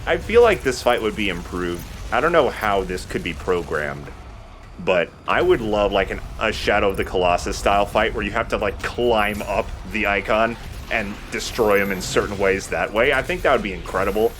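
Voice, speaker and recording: A man's voice: fairly low pitch, normal speed, fairly bright tone, slight background noise. A man giving casual commentary as he plays a video game, chatting and swearing lightly. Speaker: let's play host playing the game. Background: video game sound.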